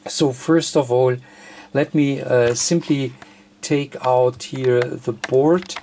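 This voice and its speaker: Man, slow pace, gravelly fry, hesitant thinking aloud, hands-on technical tutorial. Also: mid-range voice, steady tone, mellow, slight background noise. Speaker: host of an electronics tutorial. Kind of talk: unscripted hands-on technical demonstration.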